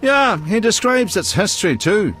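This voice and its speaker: A man's voice: high-pitched, big pitch swings, moderate pace, fairly bright tone, faint music behind. An actor playing a chatty, helpful book trader in a video game, musing as he talks.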